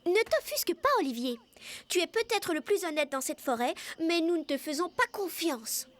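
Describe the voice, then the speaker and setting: High-voiced sensible girl rabbit. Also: lively intonation, normal speed. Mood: cautious, decisive. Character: sensible, kind young female rabbit companion. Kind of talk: French cartoon character acting.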